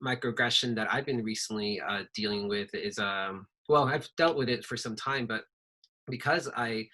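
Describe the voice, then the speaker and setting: A man's voice: on the low side, normal speed, sharp tone. Spanish-native man on a video call panel. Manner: conversational, many fillers and restarts. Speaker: workshop panelist. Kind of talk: online panel discussion.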